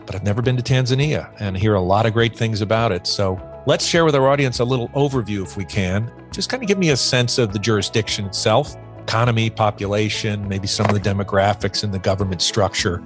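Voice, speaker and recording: A man's voice: lowish voice, fairly quick, hardly any pauses, faint music behind. A man hosting a podcast interview, asking questions in a friendly, conversational way. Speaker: podcast host.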